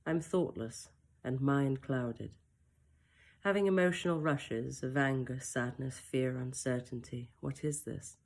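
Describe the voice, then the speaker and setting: Woman author reading her own first-person story, close mic, soft and reflective, with wry asides. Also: rumbling low, unhurried. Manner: soft, emotional and reflective, with brief self-mocking asides.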